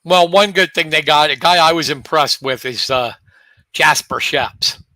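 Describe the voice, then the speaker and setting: A man co-hosting a local high school sports talk show, chatting in a relaxed way and leading the rundown of teams. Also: unhurried.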